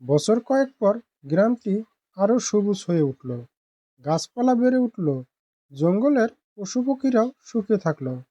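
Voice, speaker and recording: Slow, mellow female storyteller. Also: medium pitch, very animated, muffled recording. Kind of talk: scripted narration of a village fable.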